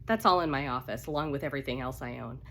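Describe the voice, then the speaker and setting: Fretful jilted bride, read by a woman, low-voiced. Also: quick pace, a bit bright, slight background noise. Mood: weary, defensive. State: congested with a cold. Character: anxious, frustrated jilted bride, proud and stubborn.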